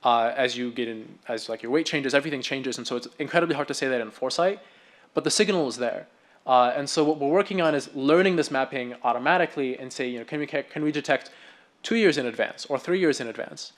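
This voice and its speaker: Fast-talking man giving a research talk. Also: mid-range voice. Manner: quick, enthusiastic, with frequent restarts. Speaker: researcher presenting at a medical symposium. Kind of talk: conference talk.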